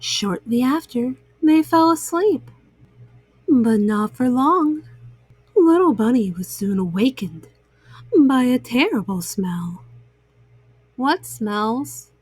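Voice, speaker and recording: Unhurried, higher-pitched woman reading bedtime stories. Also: lively intonation, darkish tone, breathy edge, no room sound. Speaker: children's storyteller. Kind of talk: bedtime story narration for young children.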